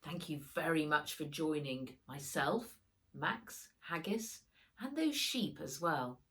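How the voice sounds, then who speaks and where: Expressive, slow-paced woman storyteller. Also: low voice. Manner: expressive, dramatic emphasis. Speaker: author reading her own children's book aloud. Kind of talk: animated children's storybook narration.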